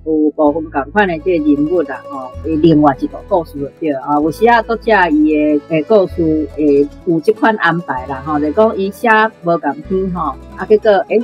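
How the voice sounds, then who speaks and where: A woman's voice: low-pitched, fairly quick, few pauses, lo-fi sound, soft background music. A woman with a Taiwanese accent giving unscripted, explanatory commentary on literature as part of a radio programme. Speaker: radio programme guest or presenter discussing literature.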